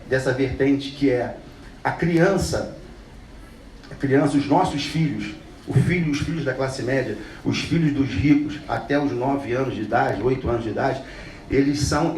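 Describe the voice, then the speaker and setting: A man's voice: medium pitch, a little mellow. Passionate man from Rio, roomy distant sound. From Brazil. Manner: passionate, blunt, repeats phrases for emphasis. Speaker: speaker telling his life story. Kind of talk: earnest talk to an audience. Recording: roomy, distant mic with faint noise.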